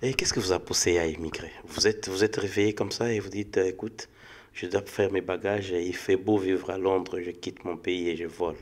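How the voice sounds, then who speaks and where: A man's voice: low voice, steady tone, normal speed. A man conducting an interview in a conversational style.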